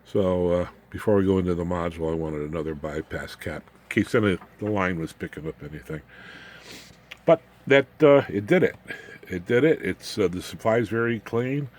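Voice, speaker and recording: A man with a notably low-pitched voice walks through a homemade electronics project as a hobbyist would. His delivery is unscripted and informal, with folksy phrasing, joking asides and a rambling path through the technical details. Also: moderate pace, a little mellow. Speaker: amateur radio hobbyist presenting his home-built project.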